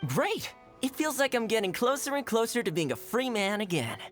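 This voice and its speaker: An easygoing young man chats in a relaxed, friendly way. It is clean game dialogue with faint music underneath. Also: very high-pitched, normal speed, heavily acted.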